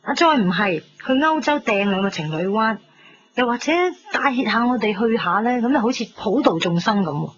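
An actress playing a pragmatic, cynical, chatty shop girl in her twenties in a Cantonese radio drama, with a Hong Kong accent and a blunt, animated delivery. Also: on the high side, moderate pace, lightly acted. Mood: blunt, worldly. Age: 20s. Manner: animated, blunt, teasing, sprinkles English words. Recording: dull lo-fi radio recording, music behind.

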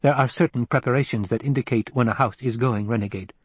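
A man's voice: quick pace, breathy edge, no echo, close to an everyday voice. A man voicing a clever, supercilious male adviser in an audiobook, laying out schemes in precise, formal speech. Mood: coolly analytical. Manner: precise, formal, higher-pitched exposition. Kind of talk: audiobook character acting.

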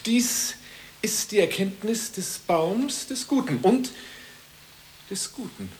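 An actress playing a contrary, plaintive invalid with great earnestness in an absurdist radio comedy. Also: female voice, medium pitch, lively intonation, takes it slowly, a little mellow, slight background noise. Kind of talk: 1980s German absurdist radio comedy acting.

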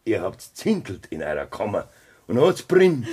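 An actor with a raspy voice and Bavarian accent playing a cold, threatening farmer who bullies his family in a radio drama. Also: lowish voice, huge pitch swings, measured pace, darkish tone, full character voice. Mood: menacing, taunting. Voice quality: raspy, gravelly. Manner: taunting, threatening. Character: cold, menacing farmer bullying his wife and mother. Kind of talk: German dialect radio drama acting.

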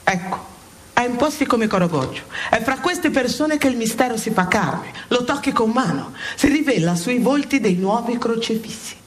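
Actress playing impassioned heavenly woman, high voice, emphatic, commanding, theatrical radio drama, dramatic pauses, noisy sound. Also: expressive, close to an everyday voice. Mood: grave, narrating. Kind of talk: theatrical monologue in a satirical radio play.

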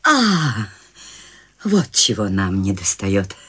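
A woman's voice: rumbling low, big pitch swings, slow pace, noisy background, exaggerated voice. An actress playing an eccentric, free-spirited elderly woman in a studio radio play, tender and wistful. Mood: whimsical. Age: older. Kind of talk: studio radio drama acting.

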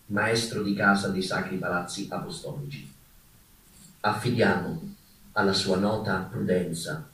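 A man playing a solemn pope in a stage monologue, reading out a formal letter in a stately, measured manner. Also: lowish voice, voice pushed down, close to an everyday voice. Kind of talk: theatrical reading of a historical letter. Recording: echoey room, distant mic, faint noise.

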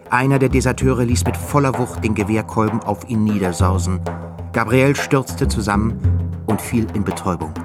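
A grave male narrator of a literary radio play. His lowish voice tells the story with intensity while music plays clearly behind him. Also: natural voice.

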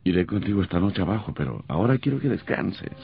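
A worried, soothing husband in a vintage radio horror serial. His low, husky voice rises and falls widely as he coaxes and hesitates. The recording is muffled and old. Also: very animated, fast, very noisy, full character voice. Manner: coaxing, hesitant, sighing.

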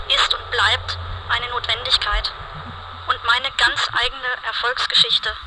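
A performer voicing a weary, alienated, sexually indefinite inner voice, feeble and halting, in an experimental radio monologue. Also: medium pitch, noisy background. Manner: slow, halting, deliberate monologue. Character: weary, alienated, androgynous inner voice, gloomy and listless.

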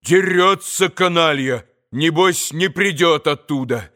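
A man voicing an impetuous, excitable gentleman in a storybook reading, emphatic and defiant. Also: fairly high pitch, takes it slowly. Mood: breathless excitement. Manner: emphatic, exclamatory.

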